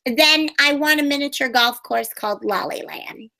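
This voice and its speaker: A woman's voice: high voice, moderate pace, gravelly, sharp tone, full character voice. A woman voicing a bubbly, chatty young puppet girl in a sunny, sing-song manner during an interview. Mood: cheerful.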